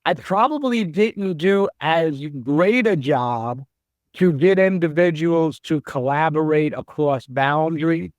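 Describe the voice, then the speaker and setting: Middle-aged guy answering interview questions, slow and thoughtful, kinda high voice, dry close mic. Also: dry recording. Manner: slow, measured and reflective, with restarts. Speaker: CEO guest.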